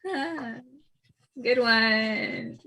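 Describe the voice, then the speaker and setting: A woman's voice: on the high side, extremely slow, bright, forceful tone, full character voice. A woman acting a nervous, guilt-ridden, awkward shop worker in a comedy table read, stammering and flustered. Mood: flustered, giggly.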